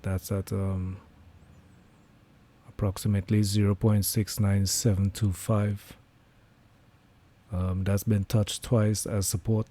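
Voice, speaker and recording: Hoarse man, low and mellow, flat delivery, relaxed live trading commentary. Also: slow, long pauses. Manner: relaxed, thinking aloud with many fillers. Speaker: trading livestreamer.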